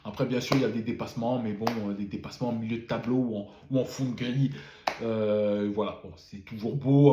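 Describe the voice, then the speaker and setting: A man's voice: medium pitch, warm tone, roomy sound. A man who has a cold gives a casual spoken debrief of a motor race, full of fillers and repeated words. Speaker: F1 commentator.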